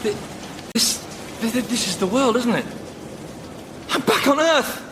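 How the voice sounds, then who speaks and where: Vain selfish businessman, very high male voice, swooping, stammering, defensive, radio comedy, faint noisy ambience. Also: unhurried. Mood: elated wonder. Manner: stammering, excitable, whiny protest. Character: vain, selfish, defensive dead businessman, self-pitying and excitable. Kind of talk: radio sitcom acting.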